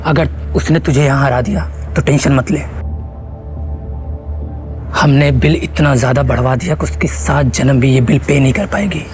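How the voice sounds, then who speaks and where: A man's voice: mid-range voice, moderate pace, a little mellow, faint music behind, lightly acted. A man playing a smug, scheming young sidekick in an audio drama. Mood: smug, mocking.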